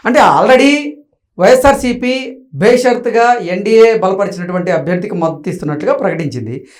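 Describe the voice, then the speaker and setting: Male interviewer, slightly raised pitch, formal and wordy, questioning as on a news programme. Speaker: interviewer, host.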